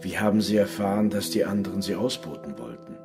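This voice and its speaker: An actor playing a skeptical, terse police detective in a radio crime drama, probing with short, hesitant questions. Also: male voice, deep voice, expressive, quick pace, music in the background. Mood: terse, weary.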